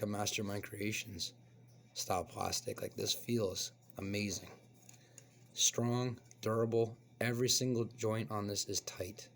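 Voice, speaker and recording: A man with a hoarse voice from a cold, hosting a casual toy review and chatting as he unboxes. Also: fairly low pitch. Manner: relaxed, chatty, explains as he handles the toy. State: hoarse, getting over a cold. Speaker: toy reviewer, channel host.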